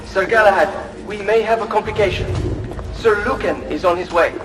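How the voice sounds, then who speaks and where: A man's voice: high voice, normal speed, over music, natural voice, rough recording. An actor playing an anxious, formal male ally of a knight in a video game. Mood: alarmed. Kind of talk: dramatic video game acting. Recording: clear background noise.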